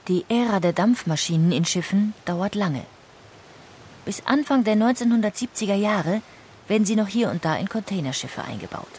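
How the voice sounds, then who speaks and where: A woman narrating a history audiobook in a calm, even-paced reading style. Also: mid-range voice, normal speed, slight background noise. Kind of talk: scripted documentary narration.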